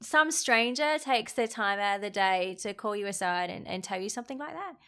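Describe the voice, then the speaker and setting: A woman talking casually as a podcast guest, soft and reflective, trailing off with fillers. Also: medium pitch, animated, a little fast, smooth. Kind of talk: casual interview chat.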